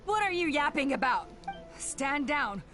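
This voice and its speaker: High-pitched, imperious villainess over music. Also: female voice, big pitch swings, unhurried. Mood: angry, commanding. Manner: commanding, theatrical, emphatic. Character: imperious, zealous woman villain devoted to her tribe. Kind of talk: dramatic video game acting. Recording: game music loud behind voice. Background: video game music.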